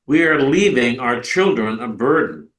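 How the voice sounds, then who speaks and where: A man's voice: medium pitch, expressive, measured pace, a bit bright. A man performing a scripted stage reading as part of a community theatre piece. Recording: roomy, echoing live space.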